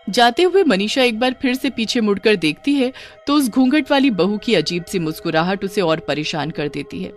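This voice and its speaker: A woman storyteller reading a scripted horror story in a dramatic narrating style. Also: medium pitch.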